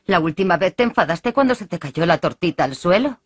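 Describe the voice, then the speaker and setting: A woman voicing a calm, patient mother pig in a cartoon dub. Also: lowish voice, fairly quick, husky, no echo, studio quality. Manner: patient, gentle.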